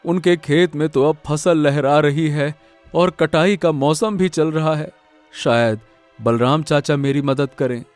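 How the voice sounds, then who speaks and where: Man acting a slow, troubled young farmer. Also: on the high side, soft background music, close to an everyday voice. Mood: pensive. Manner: halting, pleading, repeating words. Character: earnest, troubled, kind-hearted young farmer. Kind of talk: melodramatic Hindi cartoon story acting.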